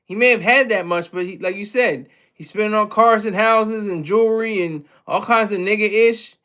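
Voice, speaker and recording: Streamer, male, high-pitched, rambly casual chat with viewers, lots of restarts. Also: moderate pace, bright, forceful tone. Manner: loose, rambling, with frequent restarts and chuckles. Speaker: livestream host reading chat.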